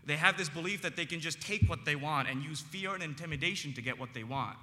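Man, big bright voice, earnest panel debater with many ums, long hall reverb. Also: fairly high pitch. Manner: earnest, frequent ums, emphatic slowed phrases. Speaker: panel speaker. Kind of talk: impassioned public debate speech.

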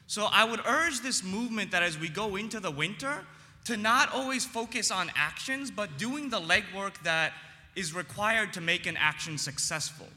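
A man speaking earnestly on a panel in a public debate, with a big voice and frequent ums, slowing down to stress key phrases. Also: sits high, animated, pushed and bright, long reverb tail. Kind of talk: impassioned public debate speech.

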